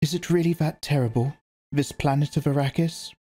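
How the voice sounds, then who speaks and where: A man reading aloud and voicing a proud young mother who quietly holds back tears, with a strained manner. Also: fairly high pitch, moderate pace, pitched above the performer's own voice, lightly acted.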